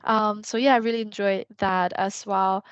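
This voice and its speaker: A woman's voice: medium pitch, level tone, normal speed, clear, resonant tone, dry recording, muffled recording. Chatty young woman. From China. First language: Mandarin. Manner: rambling, heavy use of "like" and "um". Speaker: guest. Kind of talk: casual podcast interview.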